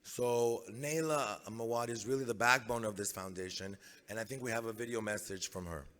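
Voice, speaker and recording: A man of medium pitch reads a formal introduction at a gala, plain and steady. His voice carries through a PA with long hall reverb. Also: brisk. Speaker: presenter at a gala. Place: large banquet hall.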